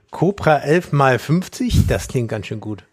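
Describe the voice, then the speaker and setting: A man's voice: medium pitch, slightly rough, dry recording. A man co-hosting a podcast, making dry, wry remarks in casual chat. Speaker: podcast co-host.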